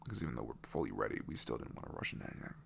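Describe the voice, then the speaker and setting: Man acting a giddy low-voiced boyfriend, creaky. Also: sing-song, rapid-fire, a bit bright, slight background noise, full character voice. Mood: tender, nervous. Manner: giggly, gushing, stumbling over words. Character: adorable giddy young boyfriend, lovestruck and nervous. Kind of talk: ASMR romantic roleplay.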